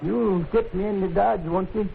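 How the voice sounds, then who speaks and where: An actor playing a cantankerous, suspicious old buffalo hunter with a rustic Western American accent in a radio western. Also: male voice, high voice, fairly even intonation, normal speed, creaky. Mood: weary, worried. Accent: rustic American West. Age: older. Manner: folksy, ungrammatical, ornery. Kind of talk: 1950s radio western acting. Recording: tinny, faintly noisy 1950s radio recording.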